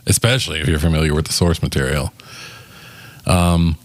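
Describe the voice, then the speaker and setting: A man's voice: very deep voice, steady tone, fairly quick, dry recording. A man co-hosting a film review podcast, chatting casually in a wry way. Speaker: podcast co-host.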